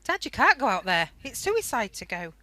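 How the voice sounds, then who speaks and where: A woman's voice: on the high side, very animated, moderate pace. Young woman as earnest, worried daughter. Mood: alarmed. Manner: earnest, gentle. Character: worried, affectionate daughter sheltering from bombs. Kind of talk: radio play acting.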